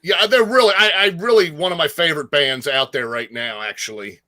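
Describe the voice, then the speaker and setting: A man chatting casually about music as a guest co-host, enthusiastic and slangy, often halting as he gathers his thoughts. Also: fairly high pitch, pushed and bright, dry recording, clean studio sound. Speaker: guest co-host, metal fan.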